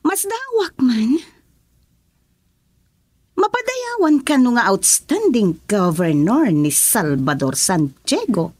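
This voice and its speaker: Brief: chatty teasing friend voiced by a woman, slow, husky, wildly swooping pitch, radio drama. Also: very animated, darkish tone, no echo, exaggerated voice.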